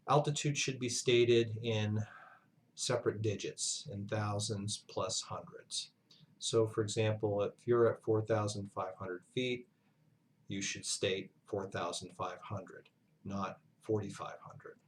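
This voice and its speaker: Guy with a lowish voice doing a lesson, careful and halting, bit of background noise. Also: fairly even intonation. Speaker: flight instructor, university lecturer. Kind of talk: instructional narration, explanatory lesson.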